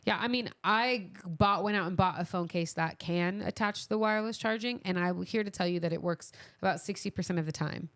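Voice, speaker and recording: A woman's voice: lowish voice, fairly quick, hardly any pauses, fairly bright tone, no echo. A woman co-hosting a podcast, talking in a casual, conversational way with her partner. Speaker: podcast co-host.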